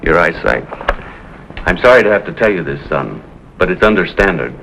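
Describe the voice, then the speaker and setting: A man's voice: low-pitched, big pitch swings, normal speed, gravelly, fairly bright tone, full character voice. An actor playing a firm, fatherly middle-aged American Navy officer, explaining with authority in 1950s television drama style. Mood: regretful, official. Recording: faintly noisy 1950s television soundtrack.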